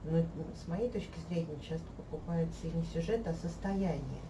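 A woman in her thirties, sitting on a sofa in a living room and chatting with animated, hesitant speech, recorded by a camera across the room. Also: low-pitched, moderate pace. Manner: animated, gesturing, many hesitations. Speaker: host, olfactory artist. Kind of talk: casual conversation. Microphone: fixed camera across the room. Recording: echoey room, mic at a distance, faint hiss.

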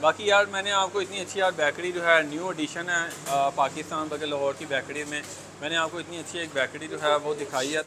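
A man's voice: a little high, a bit bright, rough recording. A young man from Pakistan with a Lahori Urdu accent, touring a bakery for his food vlog, chatty and keen, often saying "yaar". He wears a lapel mic in a busy shop. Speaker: food vlogger. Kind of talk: casual food vlog walkthrough. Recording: crowd chatter and traffic behind.

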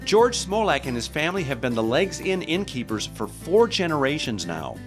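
Lively middle-aged American man hosting. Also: on the high side, normal speed, music in the background. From United States, Michigan. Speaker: travel show host. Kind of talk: upbeat scripted narration and interviewing.

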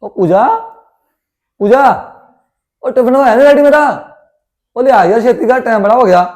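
A man's voice: very high voice, moderate pace, darkish tone. An actor playing a husband in a hurry for work, calling out impatiently for his lunch box. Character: hurried husband leaving for work.

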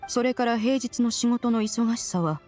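A woman's voice: a little high, normal speed, darkish tone, natural voice. Stately, proud immortal spirit woman played by an actress. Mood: inquisitive, motherly. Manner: stately, archaic, haughty. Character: proud, ancient female adeptus spirit, aloof yet caring. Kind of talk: Japanese game voice acting. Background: music.

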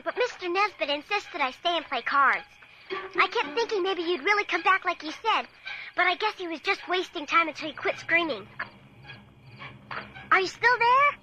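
Eager little girl in a radio play, super high voice, really animated. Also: normal speed, pauses often, low-quality recording. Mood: anxious calling. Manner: earnest, eager, expressive. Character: earnest, excitable young girl, determined and hopeful. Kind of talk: radio drama acting and first-person narration. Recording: radio drama with music underneath.

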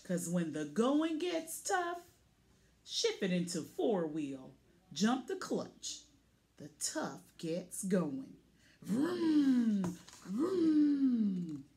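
Woman voicing a plucky little truck, wildly animated. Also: mid-range voice, extremely slow, full character voice. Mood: straining, proud. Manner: punchy, boastful, with vocal sound effects. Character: plucky, boastful little pickup truck from a picture book.

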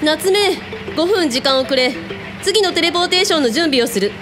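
High-voiced, commanding woman boss in radio drama. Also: lively intonation, fairly quick, over music. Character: commanding, teasing female hotel owner with psychic powers.

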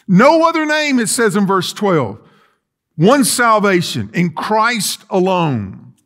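A man's voice: sits high, very animated, slow, fairly bright tone. A middle-aged man preaching a sermon in a large church sanctuary, pacing and gesturing as he talks with earnest restarts. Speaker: pastor. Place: large church sanctuary with rows of chairs, indoors.

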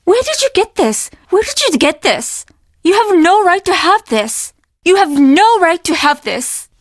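A woman's voice: very high voice, sing-song, measured pace, dry recording, clean studio sound, heavily acted. A woman voicing an earnest, apologetic young innkeeper at a Japanese inn in a video game, speaking with formal politeness and an undertone of trouble. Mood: indignant. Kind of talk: video game character dialogue.

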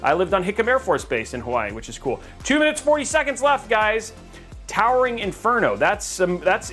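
A man's voice: high-pitched, a bit bright. A man from Texas hosting a casual livestream, reading chat and joking with viewers, rambling and restarting his sentences.

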